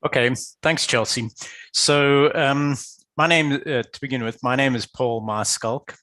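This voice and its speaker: A man from Zimbabwe, a guest expert, answering interview questions in a measured, explanatory way with some hesitation. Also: medium pitch, fairly even intonation, pushed and bright, dry recording. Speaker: guest expert, business valuator. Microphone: video call.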